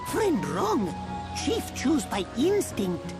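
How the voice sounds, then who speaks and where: A small, furry creature hero from a video game, childlike and full of boast. The voice is very high and swoops about eagerly, with broken, exclaiming phrases. Music plays clearly behind. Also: female voice, wildly swooping pitch, slow, exaggerated voice. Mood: confident. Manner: third-person broken grammar, exclaiming. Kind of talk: video game cutscene acting.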